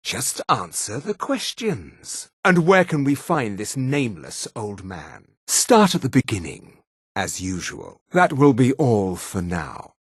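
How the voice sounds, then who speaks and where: A man voicing a haughty high elf interrogator in a fantasy video game, with an imperious, clipped, disdainful delivery. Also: fairly bright tone, husky, dry recording, clean studio sound, full character voice.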